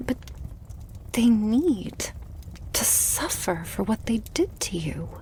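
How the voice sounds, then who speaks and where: Woman playing a slow, breathy, possessive vampire girlfriend. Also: fairly high pitch, sing-song, darkish tone, a little hiss or hum. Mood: menacing, vengeful. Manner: slow, breathy, drifting into whispers. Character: devoted, possessive, murderous vampire girlfriend, tender yet menacing. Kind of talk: intimate ASMR roleplay. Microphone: close microphone.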